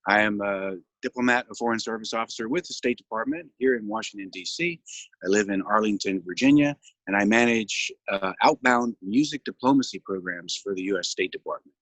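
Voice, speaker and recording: A middle-aged man speaks in a measured way and fills short pauses. His voice sits a touch low and sounds husky. The sound is dry, with no room echo. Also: a bit bright. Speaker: diplomat. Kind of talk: personal introduction and casual chat.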